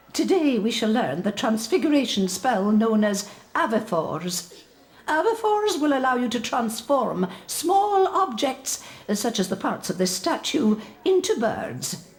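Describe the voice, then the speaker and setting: A woman's voice: fairly high pitch, very animated, takes it slowly, a little mellow, heavily acted. An actress playing a stern, precise transfiguration professor witch in a video game, formal and exact.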